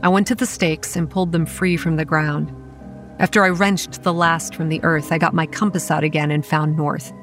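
A woman's voice: low voice, level tone, moderate pace, fairly bright tone, soft background music. A woman playing a guarded, hot-tempered young campground manager who narrates and speaks in a horror audio drama, earnest with a sardonic edge. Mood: measured, explanatory. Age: young adult. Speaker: narrator and lead character.

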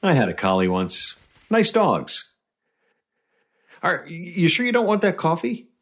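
An actor playing a patient doctor who gently coaxes and questions a witness in an audio drama. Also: male voice, fairly high pitch, wildly swooping pitch, a little slow, exaggerated voice. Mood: coaxing, friendly. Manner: coaxing, mild, inquisitive. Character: patient, probing male doctor interviewing a witness. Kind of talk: audio drama acting, investigative interview.

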